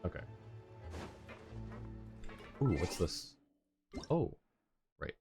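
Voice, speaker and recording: A man's voice: sits low, big pitch swings, drawn-out words. A man livestreaming a video game, chatting casually and thinking aloud in a rambling way. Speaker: livestreamer host. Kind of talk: casual chat while playing a game. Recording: game music behind the voice. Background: video game music and character voices.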